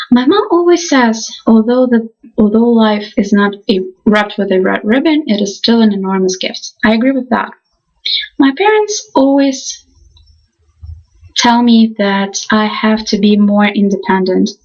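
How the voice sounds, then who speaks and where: A young woman reads her class presentation in an earnest, halting way, often stopping to restart her sentences. Her voice sits a little high and sounds breathy. The recording is muffled and lo-fi. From Ukraine. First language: Russian. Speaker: college student.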